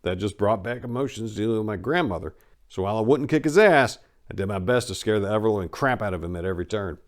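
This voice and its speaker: Man, lowish pitch, memoir narrator, dramatic first-person storytelling, emphatic stresses, trailing asides. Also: expressive, fast.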